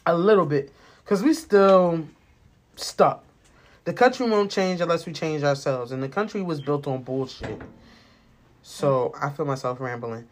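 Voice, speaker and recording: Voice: medium pitch, unhurried, a little hiss or hum. An American podcast host in their late twenties talking casually and personally, rambling, candid and emphatic, with plenty of swearing. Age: late 20s.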